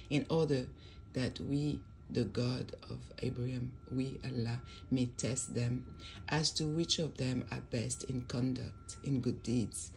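A speaker who recites scripture and then translates it in a measured reading, stressing key words, at a desk indoors with a phone recording. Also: medium pitch, gravelly, a little mellow, slight background noise, rough recording. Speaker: religious storyteller.

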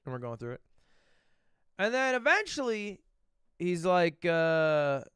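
A man in his twenties chatting casually with viewers on a live stream, animated and swearing freely. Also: high voice, slow pace, clear, resonant tone, no echo, clean studio sound. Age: 20s.

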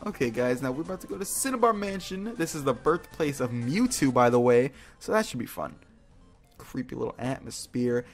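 A man's voice: medium pitch, very animated, fairly quick, faint music behind. A man hosting a video game playthrough with casual, rambling, playful commentary, slangy and often repeating his phrases.

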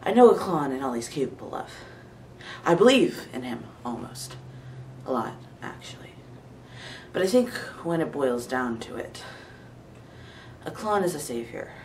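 A man's voice: fairly high pitch, very animated, measured pace. A man acting an earnest, self-doubting, intellectual nerd with a hesitant delivery in an audition monologue. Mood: earnest, resigned. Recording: echoey room, distant mic, faint noise.

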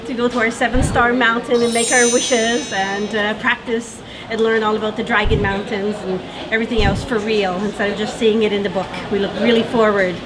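A woman's voice: fairly high pitch, steady tone, fairly quick, background noise. A woman from Canada, a tour participant, answering eagerly in a casual interview.

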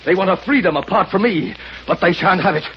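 Man acting very high-pitched, domineering rich tyrant. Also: brisk, close to an everyday voice. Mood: indignant. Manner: indignant, grandiose, theatrical. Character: proud, possessive rich tyrant, aggrieved and domineering. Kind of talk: 1940s radio acting. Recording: dull, noisy old radio recording.

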